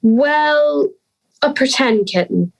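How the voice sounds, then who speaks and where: A young woman plays an earnest schoolgirl in an audio drama. Her voice is high and very melodic, moving slowly through her lines with an anxious air. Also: lively intonation, creaky, full character voice, rough recording.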